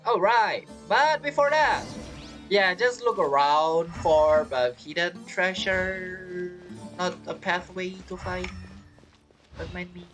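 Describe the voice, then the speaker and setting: A woman's voice: on the low side, takes it slowly, fairly bright tone, soft background music. A woman giving casual, rambling game commentary, talking half to herself and half to viewers. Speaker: gameplay streamer.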